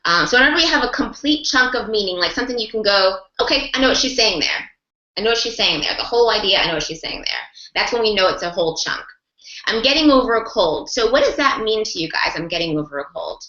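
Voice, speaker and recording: A woman's voice: mid-range voice, expressive, fast talker, pushed and bright, reverberant, highs cut off. A woman mentoring a group of sign language interpreters, encouraging and talking through examples with lots of rephrasing and repetition. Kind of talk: online group class discussion.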